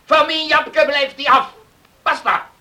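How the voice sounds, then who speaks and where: An indignant, pious patriarch in a radio play. He scolds slowly and emphatically in a sharp, forceful voice, a little off the microphone. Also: very high voice, steady tone, recorded from a distance, full character voice. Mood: outraged. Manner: scolding, emphatic, repeats himself. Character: stern, pious, indignant bearded village patriarch. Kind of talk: radio drama acting.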